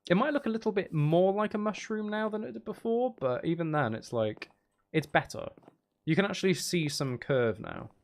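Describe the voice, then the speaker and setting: Animated, higher-voiced man streaming a game. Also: moderate pace. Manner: exasperated, wry asides, talking to himself. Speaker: streamer playing a video game. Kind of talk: casual live gaming commentary.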